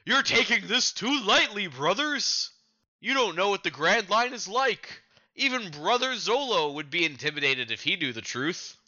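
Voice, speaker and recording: A man's voice: high voice, animated, moderate pace, pushed and bright, muffled recording, pitched above the performer's own voice, exaggerated voice. A man playing a sentimental, anxious pirate sidekick in an amateur manga reading, with melodramatic emphasis. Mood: alarmed warning.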